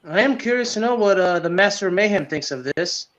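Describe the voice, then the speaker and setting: A man's voice: high-pitched, animated, fairly quick, fairly bright tone. A man co-hosting a sports podcast, giving casual commentary on games. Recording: dull, lo-fi sound.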